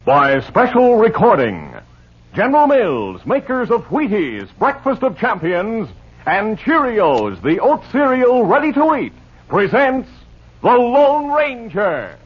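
A man's voice: high voice, wildly swooping pitch, slow pace, slightly rough. A man announcing a 1950s radio western in a grand, dramatic, proclaiming style. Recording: dull old radio recording over music.

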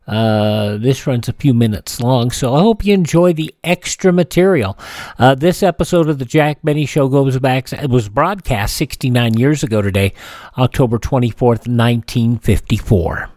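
A man's voice: medium pitch, expressive, moderate pace, a little mellow, no echo. A man hosting a classic radio show in an informal, rambling, casual way.

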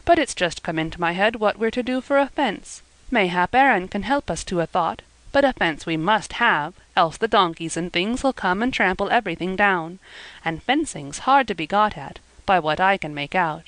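A woman voicing a tender old countryman who talks slowly in rustic speech. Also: mid-range voice, lively intonation, a bit bright, dry recording, lightly acted, low-quality recording. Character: old man, tender weaver with a pipe.

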